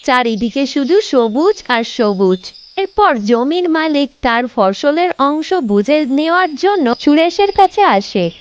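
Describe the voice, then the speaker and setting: A woman's voice: high-pitched, very animated, slow, few pauses, highs cut off. A woman narrating a scripted children's story as the storyteller.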